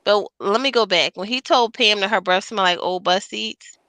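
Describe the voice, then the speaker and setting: A woman hosting a podcast, chatting in an easygoing, slangy way and laughing a lot. Her voice is bright and forward. The sound is close and dry, with no room around it. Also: medium pitch, fairly quick, no room sound. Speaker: podcast host. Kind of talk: casual podcast chat.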